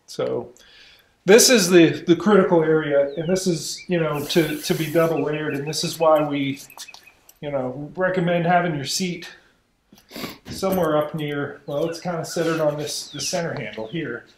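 Young male host, casual shop talk, thinks out loud, roomy garage sound. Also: fairly high pitch, moderate pace, slight background noise. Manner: thinking aloud, restarts often. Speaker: channel host. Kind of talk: casual chat in a workshop. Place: open garage workshop.

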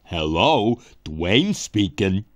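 A man playing a gentle, slow-witted, hulking henchman in a video game, hesitant and polite. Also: low voice, big pitch swings, full character voice. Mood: dopey, polite. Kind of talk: video game cutscene acting.

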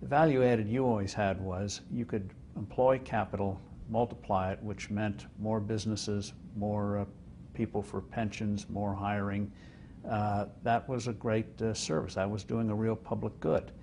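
An older man hosting a sit-down interview, asking long measured questions with "uh" fillers. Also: fairly low pitch, fairly even intonation, vocal fry, darkish tone, slight background noise. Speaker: interviewer host. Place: studio set like a living room.

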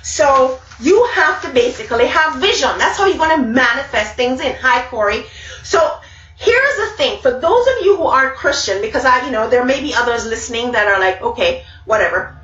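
A woman's voice: high voice, expressive, moderate pace, fairly bright tone. A middle-aged woman with a Jamaican accent gives a motivational Bible talk to a live group, emphatic and repeating key words for stress. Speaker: speaker. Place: small room indoors. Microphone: webcam at arm's length. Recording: roomy, distant, dull webcam sound.

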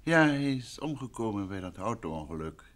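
A man's voice: on the low side, very animated, normal speed, a bit bright. An actor playing a composed, probing male detective in a Dutch radio drama. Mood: composed, inquiring. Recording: old radio play, background noise.

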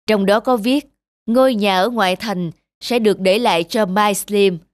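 A woman voicing a formal male lawyer who speaks quickly and in a businesslike way, in a cartoon. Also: medium pitch, dry recording, clean studio sound, close to an everyday voice. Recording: music bed under voice.

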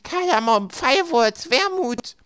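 A man's voice: very high-pitched, lively intonation, slow, a bit bright, smooth, no room sound, clean studio sound, voice pushed up, heavily acted. A man voicing a solemn little toddler girl in an audiobook, gasping and choked as the words come out. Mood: gasping, terse. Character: Sunny, choking solemn toddler girl with tiny voice.

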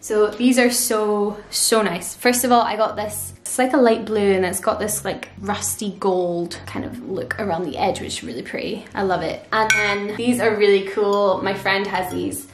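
A woman's voice: mid-range voice, steady tone, normal speed, hardly any pauses, roomy sound, slight background noise. A woman in her twenties chatting in a bubbly, giggly way as she shows off her shopping at home. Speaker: vlogger. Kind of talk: casual haul chat. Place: living room at home.

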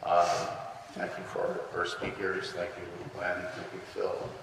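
An older man offers closing thanks at a ceremony in a halting way. His voice is deep and slow, with heavy echo from the large hall. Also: monotone, vocal fry. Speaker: veterans' post member giving closing thanks. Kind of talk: informal closing remarks at a ceremony. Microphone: podium microphone. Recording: distant, echoey hall, faint noise.

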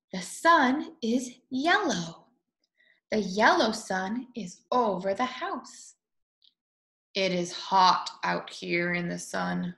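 A woman in her twenties reading a picture book aloud to young children in an expressive way, with drawn-out words. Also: medium pitch, creaky. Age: 20s. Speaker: storybook reader. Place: small room at home, indoors. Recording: echoey room.

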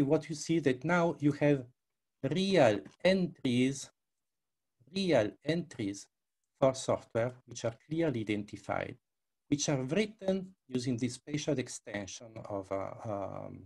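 An older man with a husky, creaky voice presents a software demo over a video call. He speaks English as a second language and often restarts or repeats his words as he explains. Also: mid-range voice, lively intonation, moderate pace. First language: not English. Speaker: researcher presenting his work. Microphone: headset.

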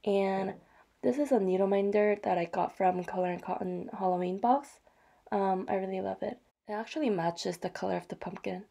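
A woman talks through her craft projects in a soft, chatty voice-over with fillers and small apologies. Her voice is mellow and dark, with a gravelly edge. She sounds slightly hoarse from a cold. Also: medium pitch. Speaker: vlogger. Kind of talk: casual show-and-tell narration.

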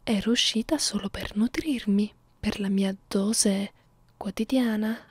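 A woman playing a young, haughty, predatory female vampire in an ASMR roleplay, moving between whispers and soft speech in a condescending, teasing way. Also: mid-range voice, steady tone, slightly rough, no room sound. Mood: playful, haughty. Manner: slow, teasing, condescending, menacing. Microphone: close ASMR microphone.

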